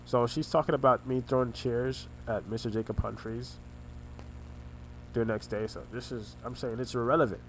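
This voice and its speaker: A man recounting family arguments in a rambling monologue, halting and often restarting his words, his voice congested and his throat sore. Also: mid-range voice, steady tone, a little hiss or hum, rough recording. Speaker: vlogger recounting family conflicts. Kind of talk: rambling personal monologue over a screen recording.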